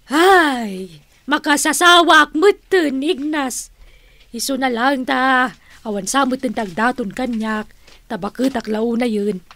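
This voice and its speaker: High-pitched lovelorn Ilocano spinster. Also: female voice, slow pace, gravelly, pushed and bright, dry recording, full character voice. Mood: flirty, complaining. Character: lovelorn, flirtatious, tobacco-loving spinster pining for a man. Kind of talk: Ilocano radio drama acting.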